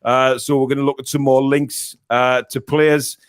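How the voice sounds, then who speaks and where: A man with a Geordie accent hosting a live football watchalong, reacting loudly and reading viewer comments aloud. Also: mid-range voice, moderate pace, smooth. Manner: excitable, laughs often, reads chat aloud. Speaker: host. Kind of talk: casual live watchalong chat.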